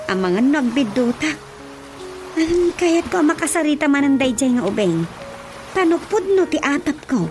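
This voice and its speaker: A high-voiced, nosy village auntie with an Ilocano accent , acted by a woman, chats and pries in radio drama style. Prominent music plays behind her. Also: big pitch swings, moderate pace. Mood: gossipy, musing. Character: nosy, chatty village auntie questioning a young girl.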